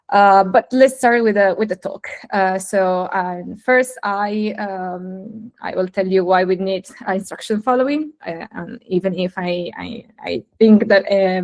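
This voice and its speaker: Woman, native italian speaker, young adult, hesitant technical talk, video call. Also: medium pitch. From Italy. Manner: hesitant, many fillers and restarts. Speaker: guest speaker.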